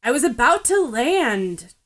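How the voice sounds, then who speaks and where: A woman voicing a gruff, impatient teenage boy in an audiobook, curt and annoyed. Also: high voice, very animated, measured pace, a bit bright, pitched above the performer's own voice, exaggerated voice.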